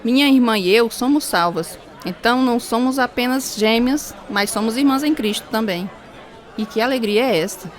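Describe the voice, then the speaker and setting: An actress plays a devout young choir singer, joyful and certain of her faith. Her voice is fairly high, in radio drama style, with a faint crowd around her. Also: moderate pace, natural voice.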